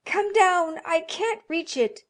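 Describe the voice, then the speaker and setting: A desperate old woman from a horror storybook, acted by a female narrator. Her voice leaps high and loud as she cries out, strained and pleading for her son. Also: animated, slow, exaggerated voice. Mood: desperate, panicked. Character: frantic elderly mother desperate for her lost son. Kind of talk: dramatised horror storybook reading.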